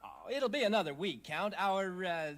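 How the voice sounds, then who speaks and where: A man's voice: high voice, sing-song, normal speed, gravelly, a bit bright, full character voice. A man playing a chatty, unfazed shop clerk in a comic television commercial. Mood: helpful.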